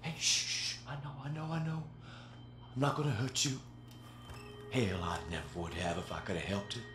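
An actor playing a weary, haunted older father, pleading with slow sighs. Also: on the low side, big pitch swings. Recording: echoey with loud music.

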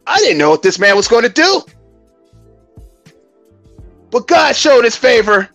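An American man around fifty hosting a live stream as a comedic mock church testimony, with a theatrical, drawn-out, preacher-like delivery. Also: extremely high pitch, a bit bright, dry recording. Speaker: YouTube live stream host.

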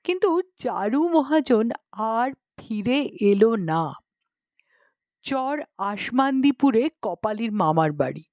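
A woman's voice: a little high, animated, slow pace, darkish tone, no echo, muffled recording. A woman narrating a story expressively, with a dramatic storytelling manner. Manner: dramatic storytelling with acted dialogue. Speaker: audiobook narrator.

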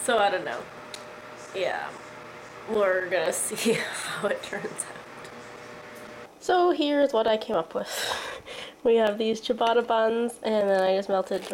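A woman's voice: fairly high pitch, a little mellow, a little hiss or hum, rough recording. A woman in her twenties with a congested voice from a cold, chatting casually through a food diary vlog, rambling and laughing often, filmed on a handheld camera in her home kitchen. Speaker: vlogger. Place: home kitchen and living room. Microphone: handheld camera at arm's length.

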